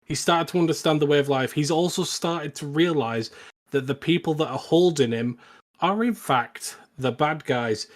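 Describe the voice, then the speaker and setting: A man's voice: fairly high pitch, normal speed. Man recapping a story, stop-and-start. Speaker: podcast co-host. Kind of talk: casual plot recap.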